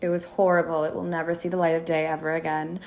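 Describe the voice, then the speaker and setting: A woman in her twenties from Minneapolis talks through her story as a podcast guest. Her voice sits low and turns gravelly. She is chatty and restarts her sentences often. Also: flat delivery, fast, a little mellow. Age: 20s. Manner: chatty, many restarts and fillers. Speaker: guest content creator. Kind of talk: casual interview chat.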